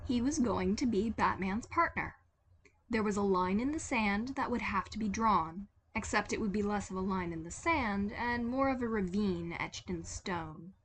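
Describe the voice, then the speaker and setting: A woman's voice: mid-range voice, normal speed, slight background noise. A woman reading a story as a dramatic scripted narration. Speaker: podfic narrator.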